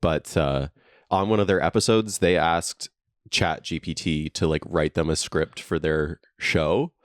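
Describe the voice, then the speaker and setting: Chill young guy on a podcast, real low voice, dry close sound, laughs a lot. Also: a little slow, no room sound. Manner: easygoing, agreeable, chuckles often. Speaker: podcast co-host. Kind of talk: casual podcast chat. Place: friend's basement.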